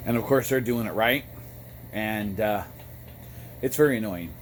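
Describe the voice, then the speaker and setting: An American man with a fairly low voice talks off the cuff in a loose, rambling vlog style. His delivery is halting, with pauses between short phrases. There is noticeable noise in the recording. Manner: halting, frequent throat clearing between phrases. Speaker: amateur radio vlogger. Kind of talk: casual rambling monologue.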